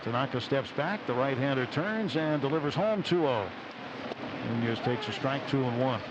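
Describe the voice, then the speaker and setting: A man's voice: mid-range voice, expressive, moderate pace. A man with an American accent calling a baseball game live on radio, play by play, and reading short sponsor spots. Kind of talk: live radio sports commentary with sponsor reads. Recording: dull radio broadcast with loud stadium noise. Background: stadium ambience.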